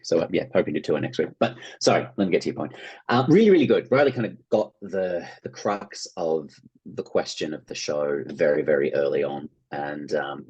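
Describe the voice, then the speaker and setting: A man's voice: very deep voice, darkish tone, breathy edge, rough recording. An Australian man speaking casually as an interview guest, rambling and hedging as he goes. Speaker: interview guest, playwright and actor.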